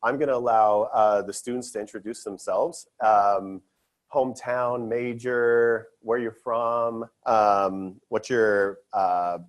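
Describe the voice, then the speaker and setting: A man's voice: lowish voice, steady tone, slow. A man moderating a student panel at a conference session, earnest and loose with fillers and restarts.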